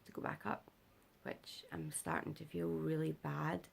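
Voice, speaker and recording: A young British woman giving a casual vlog update, her voice rough and worn because she is unwell and has not slept, rambling with lots of fillers. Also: level tone, a little slow. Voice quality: rough gravelly voice. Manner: rambling, filler-heavy, low-energy. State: unwell and sleep-deprived. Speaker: vlogger.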